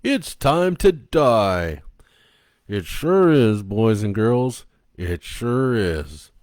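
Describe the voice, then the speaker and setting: A man's voice: fairly low pitch, sing-song, drawn-out words, darkish tone, no room sound, studio quality, full character voice. A man voicing a happy old male grandfather clock puppet with a cheery, sing-song children's-show delivery. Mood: gleeful.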